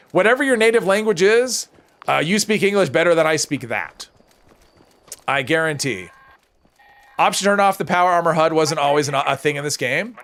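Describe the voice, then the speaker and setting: Gravelly-voiced man chatting on a game stream. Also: sits high, a bit bright. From United States. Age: older than high school, adult. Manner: casual, chatty, reads and answers chat while playing. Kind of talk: live gameplay stream, chatting with viewers.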